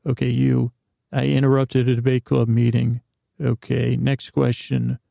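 A male podcast host with a very gravelly, fry-laden voice and a narrow, even pitch range. He rambles through a bedtime monologue, halting and interrupting himself in a silly, meandering way. The sound is dry and close to the room-free ideal. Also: no echo. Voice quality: gravelly, creaky.

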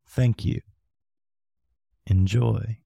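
A man hosting a showcase, reading a scripted podcast introduction in a measured, theatrical manner. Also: lowish voice, fairly even intonation, creaky, heavy background noise. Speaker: showcase host.

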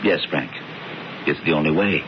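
An actor playing a smooth-talking, mysterious, persuasive friend in 1970s radio drama style, insistent and dramatic in his emphasis. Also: extremely low pitch, normal speed. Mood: commanding. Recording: muffled, hissy 1970s radio broadcast recording.

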